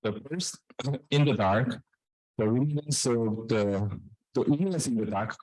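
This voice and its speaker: Halting man giving a technical talk, dry sound. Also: medium pitch, darkish tone, no room sound, poor audio. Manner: halting, hesitant delivery with repeated phrases. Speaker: researcher presenting at a conference. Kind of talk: technical scientific presentation.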